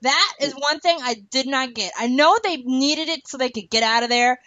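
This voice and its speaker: Bright, high-voiced woman podcaster, emphatic. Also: normal speed, no room sound, dull audio. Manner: emphatic, exasperated rhetorical questioning. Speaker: podcast host. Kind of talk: casual, opinionated podcast chat.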